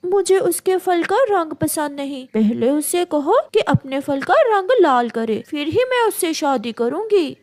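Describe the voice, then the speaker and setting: A woman's voice: high-pitched, sing-song, a little slow, hardly any pauses, a little mellow, no echo. A woman voicing a proud, fussy female fruit tree in a children's cartoon story. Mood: disdainful. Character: proud, fussy female jamun tree, choosy about suitors. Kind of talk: cartoon storybook character acting.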